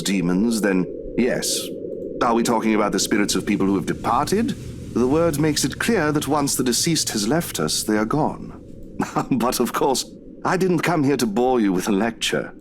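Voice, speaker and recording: A man's voice: lowish voice, expressive, normal speed, close to an everyday voice. Kindly young priest played by a man, noisy ambience. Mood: solemn, assured. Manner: gently preaching, courteous. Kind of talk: visual novel voice acting.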